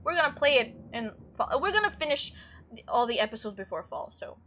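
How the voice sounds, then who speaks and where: A woman's voice: fairly high pitch, big pitch swings, brisk, fairly bright tone, slight background noise. A woman giving casual, gushing let's-play commentary as the host of a gaming channel. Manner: gushing, enthusiastic. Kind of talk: casual let's-play commentary, reading game text aloud. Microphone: close desktop microphone. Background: faint game music.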